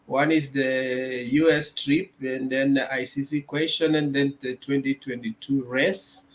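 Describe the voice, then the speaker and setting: Male host, unhurried, halting intro full of filler pauses. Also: medium pitch, steady tone. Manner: many "uh" pauses. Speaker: interviewer and host. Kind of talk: slow, hesitant introduction.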